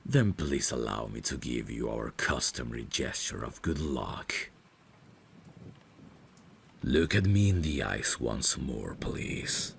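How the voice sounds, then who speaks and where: A man playing a devoted, courteous vampire butler in an ASMR audio drama, speaking formally and gently in a hushed, gravelly voice that slips toward whispers. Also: rumbling low, expressive, slight background noise, exaggerated voice. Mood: reassuring, solemn. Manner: slow, formal, gentle, deferential.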